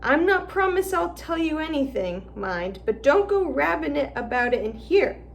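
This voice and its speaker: A big, gruff gamekeeper man, as a woman reader puts him on, rough and clipped, swallowing the ends of his words. He keeps his secrets close. The voice sits fairly high, with faint noise in the background. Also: big pitch swings, normal speed, exaggerated voice. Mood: hushed urgent.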